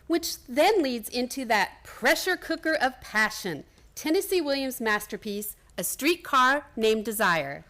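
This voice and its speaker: Woman, advert announcer, high-pitched, upbeat scripted theater promo read. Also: animated, a little slow, sharp tone.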